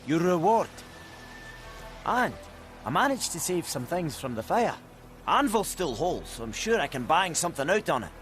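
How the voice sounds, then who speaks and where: A man playing a blunt, indignant village smith in a video game, excitable and grumbling. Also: on the high side, moderate pace. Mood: grumbling, businesslike. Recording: music behind the voice.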